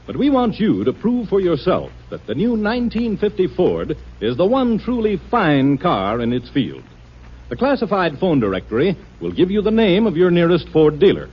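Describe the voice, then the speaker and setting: A dull vintage radio recording. A male commercial announcer speaks in a fairly high, husky voice, emphatic and formal, with lively salesmanlike inflection. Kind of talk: 1950s radio advertising read.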